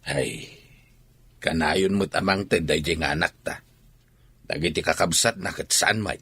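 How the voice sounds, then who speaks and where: A man's voice: sits low, measured pace, a bit bright, slightly rough, no echo, full character voice. A man with an Ilocano accent playing a plain-spoken husband and father in a radio drama. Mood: reproving. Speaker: voice actor.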